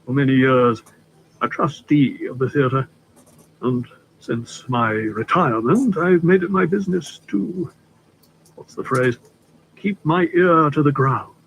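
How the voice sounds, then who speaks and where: A man puts on a polished, persuasive older gentleman of the theatre, his speech drawn out and a touch higher than a plain talking voice. Every phrase is shaped like a stage line, and a soft, funereal gravity hangs over it. His tone sits at an ordinary middle pitch, and a faint hiss runs behind him. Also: rough recording. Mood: wistful. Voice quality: smooth, cultured, unhurried. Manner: measured and theatrical, with drawn-out words.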